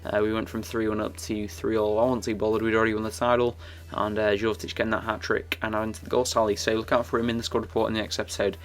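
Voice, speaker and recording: A young man talks through his football video game match in a loose, rambling way, full of fillers and restarts. His voice sits low and stays fairly flat, with a croaky, gravelly edge. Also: fast talker, few pauses. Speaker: gaming YouTuber. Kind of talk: casual game commentary.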